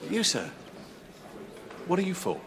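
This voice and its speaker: An actor playing a combative patriotic war veteran in a period drama, gruff and challenging. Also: male voice, high voice, huge pitch swings, measured pace, a crowd in the background, heavily acted. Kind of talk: period television drama acting.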